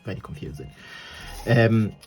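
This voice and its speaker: Chatty man with a husky morning voice. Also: on the low side, lively intonation, unhurried, soft background music. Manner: rambling and chatty, many fillers and restarts. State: croaky morning voice. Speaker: crafting channel host. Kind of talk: casual chat while crafting. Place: home craft table, indoors.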